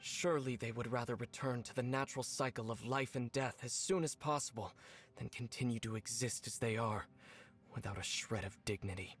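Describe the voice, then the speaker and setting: A man's voice: medium pitch, brisk, lightly acted. Solemn, commanding young prince played by a man. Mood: resolute, solemn. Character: resolute young royal leader of a dark order. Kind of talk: video game voice acting. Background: game music.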